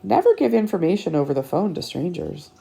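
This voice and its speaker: A woman reading a picture book aloud to children as a storytime host, animated and teacherly, with dramatic delivery and little asides. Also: fairly low pitch, fairly quick, vocal fry, husky.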